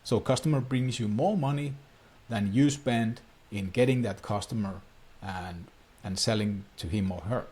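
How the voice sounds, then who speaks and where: A man's voice: fairly low pitch, normal speed, hardly any pauses, darkish tone, husky. A man from Finland, a native Finnish speaker, coaching viewers on online marketing in an earnest talking-head explainer with plain, slightly non-native phrasing.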